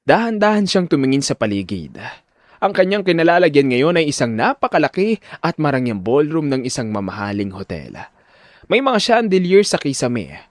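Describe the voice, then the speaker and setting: A man's voice: mid-range voice, no echo, clean studio sound. A man narrating a scripted audio drama in a suspenseful, theatrical manner. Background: music.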